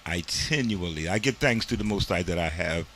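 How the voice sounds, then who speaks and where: A man's voice: low voice, animated, brisk, fairly bright tone, slight background noise. A man hosting a community TV interview in a studio, talking in an emphatic, preachy way. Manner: preachy, emphatic, uses "this here". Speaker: community TV host. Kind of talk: casual interview and commentary.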